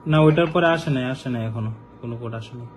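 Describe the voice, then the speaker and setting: A man's voice: medium pitch, quick pace, a little hiss or hum. A Bangladeshi man answering a phone call as a customer, speaking casually and hesitantly and repeating himself.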